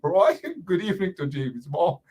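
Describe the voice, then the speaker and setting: A man's voice: on the high side, expressive, moderate pace, darkish tone. A Guyanese man hosting a radio talk show, delivering fiery, sarcastic political commentary with mocking repetition.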